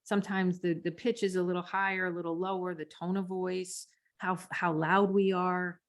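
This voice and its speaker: Lower-pitched woman co-hosting a coaching podcast. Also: flat delivery, moderate pace. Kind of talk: conversational teaching on speaking technique.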